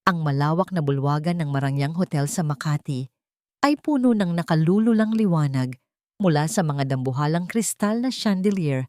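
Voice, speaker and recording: A woman narrating a scripted dramatic story in a measured, literary manner. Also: low voice, animated, darkish tone, no echo.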